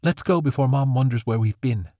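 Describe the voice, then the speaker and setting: A man voicing a rebellious young girl fairy, speaking in a high, squeaky, emphatic voice. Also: a little fast, mellow, no echo, clean studio sound, close to an everyday voice. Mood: excited.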